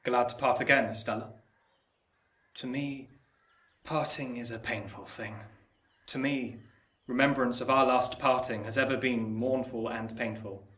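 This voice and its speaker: An actor playing an earnest, tender bachelor gentleman in a period radio drama, speaking gently and formally. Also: medium pitch, steady tone, a little slow, pauses often, recorded from a distance, close to an everyday voice. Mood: tender, sorrowful. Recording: roomy, off-mic stage-like sound.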